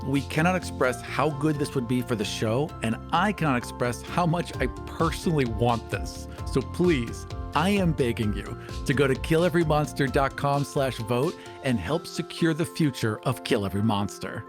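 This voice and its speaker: A man talks as a player and co-host of a tabletop show. His mid-range voice is earnest and articulate, with formal phrasing, and music plays clearly behind him. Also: medium pitch, animated, moderate pace. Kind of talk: tabletop role-play talk and sincere appeal.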